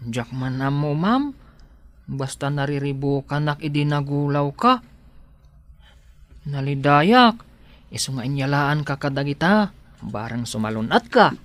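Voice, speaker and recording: Radio drama houseboy man acting: Ilocano accent, notably high male voice, earnest, deferential, protective of his madam. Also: animated, a little slow, pauses often, fairly bright tone. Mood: devoted, worried.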